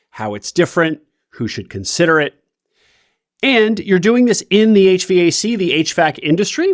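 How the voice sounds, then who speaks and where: A man's voice: fairly high pitch, no room sound. Man, podcast host, close dry mic, conversational, emphatic rises. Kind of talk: podcast interview.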